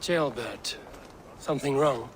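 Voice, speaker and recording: An actor playing a cool, resolute Viking raider in a game, terse and steady. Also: male voice, mid-range voice, takes it slowly, slight background noise, lightly acted. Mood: firm. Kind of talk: dramatic video game cutscene acting.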